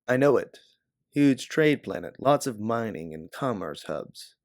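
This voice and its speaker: A man's voice: medium pitch, very animated, a little slow, no room sound, studio quality. A man voicing a weary, candid stranded ex-pilot in a science fiction audiobook. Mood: curious. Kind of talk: audiobook character dialogue.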